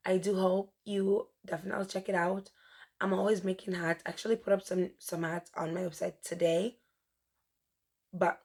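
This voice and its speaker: A young woman hosting a chatty crochet tutorial, her voice mostly gone and rough from a dry throat, rambling and correcting herself as she goes. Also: mid-range voice, a little mellow. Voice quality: strained, rough. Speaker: crochet tutorial host and shop owner.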